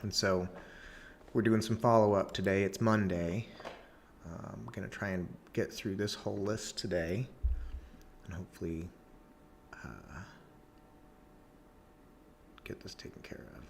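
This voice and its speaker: Courteous male agent narrating his call day, lowish register, slight hum behind. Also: a little slow, gravelly, pauses often. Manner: polite and courteous, says ma'am; speaks Spanish as a second language. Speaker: real estate agent. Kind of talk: working vlog of follow-up sales calls.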